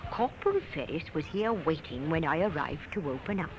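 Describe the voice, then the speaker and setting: An actress playing a chatty, obliging woman clerk at a post office in a radio crime drama, brisk and sure of herself. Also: low voice, lively intonation. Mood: chatty, helpful. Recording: muffled, noisy old radio recording.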